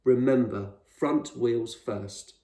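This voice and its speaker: A man voicing an eccentric, proud male explorer in a storybook, speaking with measured authority and reassurance. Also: mid-range voice, warm tone, close to an everyday voice. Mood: firm, instructive. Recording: roomy echo, distant microphone.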